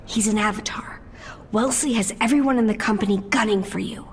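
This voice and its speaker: An actress playing a confident, sharp-tongued ally in a video game, matter-of-fact and snarky. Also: female voice, medium pitch, fairly quick, slight background noise, natural voice. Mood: briefing. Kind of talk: video game cutscene acting.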